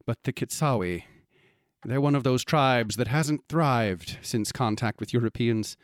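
Guy acting as cryptic folklore expert, measured n ominous, mid pitch, close dry studio sound. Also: no room sound, close to an everyday voice. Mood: grave, expository. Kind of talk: radio drama acting.

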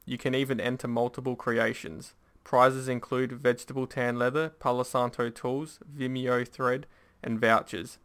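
A man's voice: mid-range voice, flat delivery, moderate pace, fairly bright tone, smooth. A man hosting a casual podcast interview and reading the sponsor spot. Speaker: podcast host.

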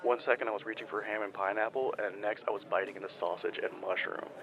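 Man acting young dude caller, laid-back, baffled, fairly low pitch, dull lo-fi line, audio drama. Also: steady tone, quick pace, a crowd in the background, lightly acted. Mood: baffled, casual. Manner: casual slacker talk. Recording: dull, muffled phone-call sound.

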